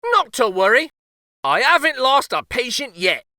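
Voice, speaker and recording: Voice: high voice, sing-song, a little slow, bright, forceful tone, no echo, clean studio sound, pitched above the performer's own voice, exaggerated voice. A man voicing a cheeky, eager little old man in a storybook, with folksy, teasing questions.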